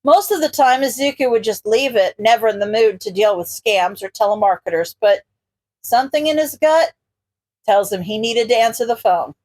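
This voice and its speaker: A woman's voice: fairly high pitch, normal speed, a little mellow. A woman reading a scripted story aloud as a narrator. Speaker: podfic reader.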